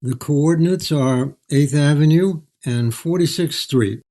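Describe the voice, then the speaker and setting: An older man speaking as an author, reflective and articulate, with measured, literary phrasing. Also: on the high side, mellow. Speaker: author, interview guest. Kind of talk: reflective storytelling, reading and interview.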